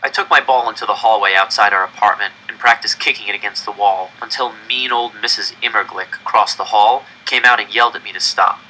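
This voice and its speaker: A man's voice: fairly low pitch, fairly quick, few pauses, pushed and bright, a little hiss or hum, low-quality recording. A man reading a first-person story aloud with dramatic, tense delivery. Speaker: audiobook reader.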